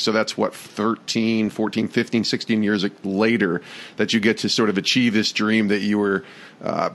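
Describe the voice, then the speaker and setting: Low-voiced male podcast host. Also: a bit bright. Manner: conversational, curious, encouraging. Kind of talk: interview questions.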